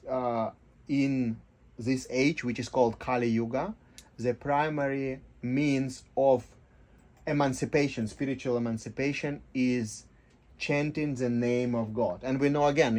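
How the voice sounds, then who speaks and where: A man speaking as a guest in a radio interview, explaining in short, halting, often repeated phrases. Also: mid-range voice, darkish tone. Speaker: interview guest, life coach and kirtan teacher. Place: radio studio.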